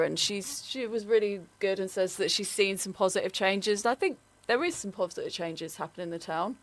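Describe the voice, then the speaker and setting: A woman's voice: fairly low pitch, a bit bright. A woman presenting a local radio show, talking in a chatty way with plenty of ums and likes. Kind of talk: casual radio chat.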